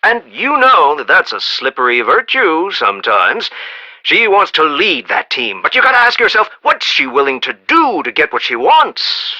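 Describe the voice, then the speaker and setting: An actor playing a proud, eccentric self-made American inventor tycoon in a video game, talking in a folksy, rambling, emphatic way. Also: male voice, fairly high pitch, wildly swooping pitch, normal speed, bright, forceful tone, exaggerated voice, rough recording. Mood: folksy, confiding. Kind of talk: animated video game voice acting.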